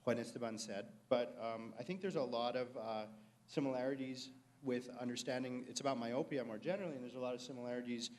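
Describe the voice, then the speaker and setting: A man giving an academic lecture at a conference in a relaxed way, with frequent ums and uhs. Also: medium pitch, moderate pace. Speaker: guest lecturer.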